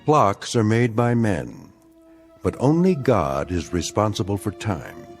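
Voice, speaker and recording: A man playing the grave, knowing narrator of a radio drama of uncanny tales, measured and portentous. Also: lowish voice, very animated, music in the background, full character voice. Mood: solemn.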